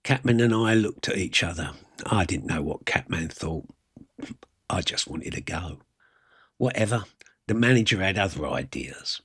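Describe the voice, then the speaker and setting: A man reads a scripted first-person story in a fairly low, husky voice. He leaves dramatic pauses between phrases. The sound is dry and close, with no room to it. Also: lively intonation, a bit bright, no room sound. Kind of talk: scripted literary narration, reading a story aloud.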